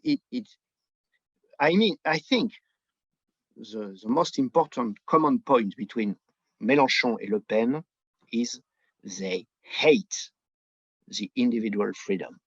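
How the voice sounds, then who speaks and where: A Frenchman talks slowly in French-accented English, feeling for his words as he goes. His speech is conversational commentary from a podcast guest, and the sound is dull and lo-fi. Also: on the low side, creaky, husky. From France. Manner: halting, searching for words in non-native English. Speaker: podcast guest, book editor. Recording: dull, roomy, lo-fi remote connection.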